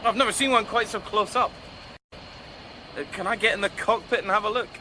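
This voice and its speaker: A man's voice: high voice, fairly even intonation, normal speed, natural voice. An actor playing a polite, boyishly eager British police sergeant in a radio drama, tentative in his way of talking. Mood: excited. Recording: noisy outdoor scene with vehicle sounds.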